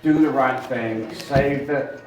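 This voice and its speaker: A man speaking at a town meeting's public comment, loud, blunt and emphatic. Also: mid-range voice, fairly even intonation, slow. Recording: echoey room from far off.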